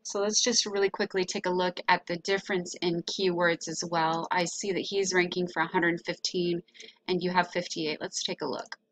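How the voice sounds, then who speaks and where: A woman presenting a website audit as a consultant, talking through a screen share in a casual, explanatory way with hesitant pauses. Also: lowish voice, level tone, a bit bright, low-quality recording.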